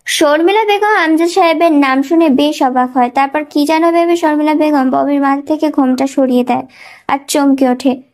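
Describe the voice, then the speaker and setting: High-pitched woman narrating a story. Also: fairly even intonation, normal speed, darkish tone. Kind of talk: scripted dramatic story narration.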